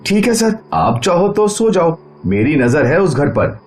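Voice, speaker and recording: Man playing a higher-voiced, deferential police constable. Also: big pitch swings, a little slow, slight background noise. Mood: eager, deferential. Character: easygoing, deferential male police constable on stakeout.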